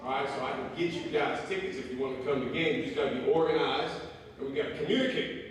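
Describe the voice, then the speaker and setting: A man's voice: medium pitch, fast. A middle-aged man from Wisconsin, a college basketball coach giving a casual motivational talk to students and asking them questions. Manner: casual, asks the crowd questions, loud voice. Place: large echoey hall. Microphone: speaking without a microphone, far from the recorder. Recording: echoey hall, distant voice, faint noise.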